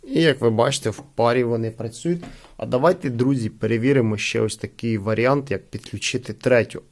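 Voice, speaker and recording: A man with a creaky voice giving casual unboxing and product testing commentary as a host. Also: medium pitch, fairly quick, over music. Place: indoors at a wooden table.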